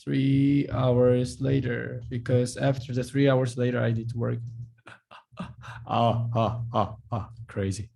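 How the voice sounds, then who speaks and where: A man who speaks English, teaching in a live online class. He explains patiently, repeats words slowly and acts out short dialogues. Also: mid-range voice, flat delivery, creaky, warm tone. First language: Korean. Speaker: Korean language teacher.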